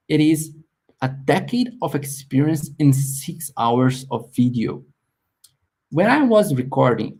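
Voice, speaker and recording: A man talks through his online course in a webinar, sincere and a little halting. His voice is husky and mellow. His pitch rises and falls in lively swings. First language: Portuguese. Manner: earnest, plain non-native phrasing, some restarts. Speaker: course creator hosting a webinar. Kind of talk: live webinar sales pitch.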